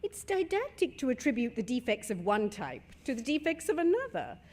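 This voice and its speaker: An actress playing a poised, sharp-tongued middle-aged wife in a stage comedy, with a crisp, ironic British delivery. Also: female voice, a little high, big pitch swings, fairly quick. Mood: arch, teasing. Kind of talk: stage comedy radio acting.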